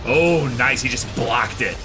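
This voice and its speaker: A man hosting a casual reaction show, commenting live in an exclamatory, excitable way and swearing freely. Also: medium pitch, a little slow. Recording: loud show soundtrack behind voice. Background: music from the watched show.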